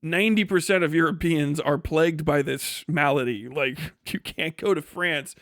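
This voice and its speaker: A man's voice: on the high side, moderate pace, fairly bright tone, no room sound, clean studio sound. A man giving casual livestream commentary in a profane, blunt, sardonic way. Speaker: livestream host.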